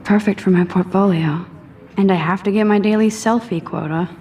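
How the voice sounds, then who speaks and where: A woman playing a self-doubting, quietly sardonic teenage student in a video game, speaking in an understated inner monologue. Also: medium pitch, normal speed, distant mic, slight background noise, close to an everyday voice. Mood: self-conscious. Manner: introspective, understated, wry.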